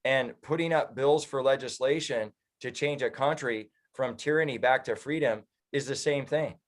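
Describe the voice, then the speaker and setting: Earnest American man, mid-pitched voice. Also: moderate pace. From United States. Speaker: political activist and professional speaker, interview guest. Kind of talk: conversational political commentary.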